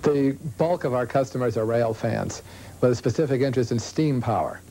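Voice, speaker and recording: A man's voice: mid-range voice, fairly quick, mellow. An American man, a shopkeeper, explaining things in an interview. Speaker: bookstore owner being interviewed.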